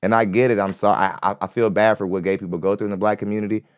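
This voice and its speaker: Male podcast host, light-voiced, rambling and profane, smooth tone, close dry mic. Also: lowish voice, fairly even intonation, rapid-fire, dry recording. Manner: rambling, repeats himself, curses freely. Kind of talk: casual commentary on a clip. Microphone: studio mic.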